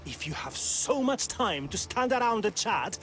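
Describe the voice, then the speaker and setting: An actor playing a brusque, self-important village magistrate in a video game set in ancient Greece, haughty, scornful and threatening. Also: male voice, sits high, big pitch swings, moderate pace, fairly bright tone. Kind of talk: dramatic video game acting. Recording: game cutscene with music behind.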